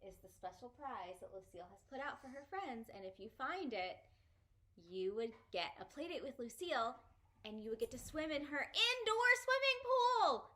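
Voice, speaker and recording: A woman's voice: on the high side, bright, forceful tone. A woman reading a children's book aloud expressively and putting questions to young listeners.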